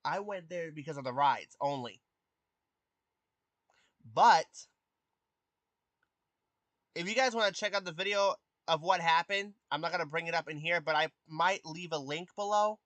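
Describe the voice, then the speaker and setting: A young man in his twenties talks casually to his stream viewers. His voice is light and youthful, and it sits a little higher than most men's. He pauses often with ums, and the sound is close and dry. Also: fairly bright tone, dry recording. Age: 20s. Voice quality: youthful voice that has not deepened. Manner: hesitant, many ums and restarts, answers chat questions. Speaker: live streamer.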